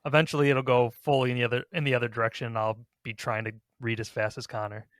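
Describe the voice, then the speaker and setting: A man's voice: medium pitch, little pitch movement, quick pace, fairly bright tone, no echo. A man in casual podcast conversation, recorded close and dry. He rambles a little as he talks through his thoughts, with a mid-range voice. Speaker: podcast host. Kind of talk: casual gaming podcast chat.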